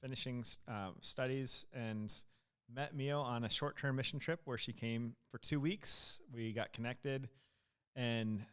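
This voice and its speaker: Easygoing man, smooth voice. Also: medium pitch, unhurried, a bit bright. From Iowa, United States. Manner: easygoing, storytelling. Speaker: guest. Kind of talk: casual interview.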